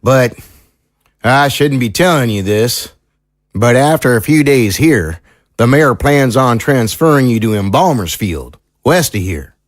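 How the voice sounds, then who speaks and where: An actor playing an earnest, troubled small-town sheriff in an audio drama, speaking in a folksy, sincere, measured way. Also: male voice, medium pitch, lively intonation, dry recording, studio quality, natural voice. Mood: grave, candid.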